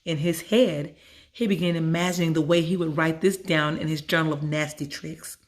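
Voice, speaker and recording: Low-pitched woman reading a children's story. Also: steady tone, normal speed. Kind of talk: scripted narration of a children's story.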